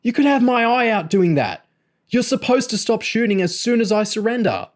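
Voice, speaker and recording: A man's voice: on the high side, animated, unhurried, a little mellow, voice pushed up. A man voicing an indignant, complaining teenage boy trainee in an audiobook. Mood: pained, outraged. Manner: protesting, complaining. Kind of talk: audiobook character voice.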